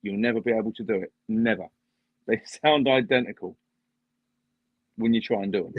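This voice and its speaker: A man's voice: on the low side, normal speed, dry recording. A man appearing as a guest on a live stream, chatting casually and explaining things at length with easy humor. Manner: chatty, explains at length, laughs at his own jokes. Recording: dull, dry home stream audio.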